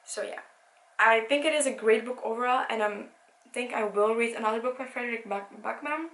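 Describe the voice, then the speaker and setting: A woman's voice: fairly high pitch, a little fast, fairly bright tone. A young woman from Belgium, a native Dutch speaker, chatting casually about books with a rambling, self-correcting manner. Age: young adult. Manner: chatty, rambling, many restarts. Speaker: book vlogger. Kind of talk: casual book review. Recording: echoey room.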